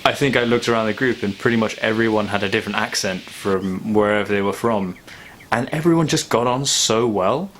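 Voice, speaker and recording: Low-voiced young man, casual vlogging over music. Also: a little fast, fairly bright tone, rough recording. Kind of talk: casual reflective vlog monologue.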